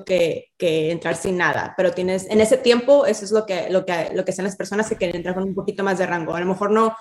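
A woman's voice: fairly low pitch, fast talker, a little mellow, roomy sound. A woman from Mexico in her thirties with a Mexican accent, speaking as an interview guest in casual talk, slipping English terms in among hesitant fillers. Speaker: army officer guest.

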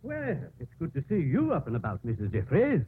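An actor playing a polite but self-righteous male pharmacist in a 1950s radio drama, with a husky voice. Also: a little high, wildly swooping pitch, brisk, exaggerated voice. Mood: sociable. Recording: muffled phone-like old radio broadcast.

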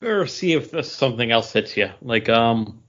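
A man's voice: mid-range voice, animated, normal speed, a bit bright, lo-fi sound. A man co-hosting a casual geek-culture chat, talking like a keen fan and correcting himself as he goes. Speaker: podcast co-host.

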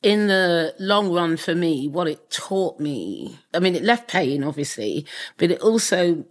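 A woman's voice: lowish voice, lively intonation, a little slow. Emphatic woman co-hosting a podcast. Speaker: co-host. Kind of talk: casual podcast chat.

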